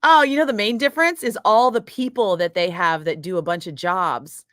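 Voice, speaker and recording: A middle-aged woman radio DJ chatting casually with viewers between breaks, profane and quick to laugh. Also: medium pitch, fairly bright tone, no echo, studio quality. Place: radio studio.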